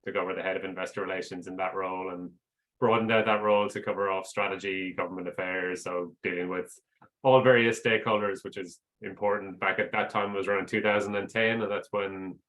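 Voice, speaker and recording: A man in his forties, an investor relations head from outside Australia, answering interview questions on a video call in a relaxed way with many fillers. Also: deep voice, fairly quick.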